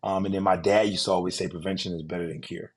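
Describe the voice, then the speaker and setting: A man's voice: sits low, steady tone, quick pace, slightly rough. A man in his thirties, guest on a podcast interview, telling his story casually with many fillers and restarts. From United States. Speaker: guest, insurance agency owner.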